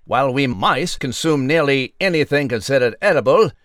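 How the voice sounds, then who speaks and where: An actor playing a pompous, scholarly little mouse delivering a formal, wordy mock news report in a children's audio drama. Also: male voice, mid-range voice, lively intonation, moderate pace, a bit bright, no echo, clean studio sound, close to an everyday voice. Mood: pompous, earnest.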